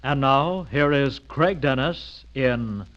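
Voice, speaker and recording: A man's voice: medium pitch, takes it slowly, soft background music. A man announcing a radio programme with emphatic, theatrical 1940s showmanship. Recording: muffled vintage radio recording.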